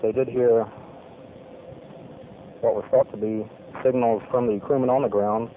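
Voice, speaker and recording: A man, a navy pilot, giving a formal spoken debrief of a mission in measured, clipped military phrasing. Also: mid-range voice, fairly even intonation, a little hiss or hum. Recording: tinny lo-fi archival radio recording.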